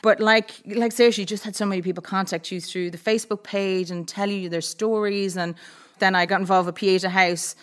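A woman's voice: mid-range voice, fairly even intonation, a little fast. Young woman, plain chatty guest. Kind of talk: casual interview chat.

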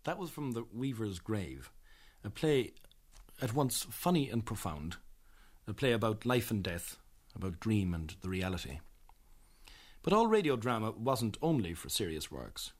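Lowish, expressive Irish male presenter. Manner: measured, earnest. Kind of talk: scripted documentary narration.

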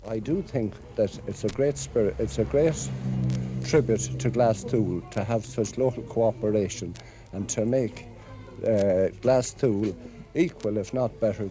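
A man's voice: on the low side, moderate pace, mellow. An Irish man speaking formally and proudly as a civic official in a 1950s radio interview, on an archive recording. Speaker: chamber of commerce president.

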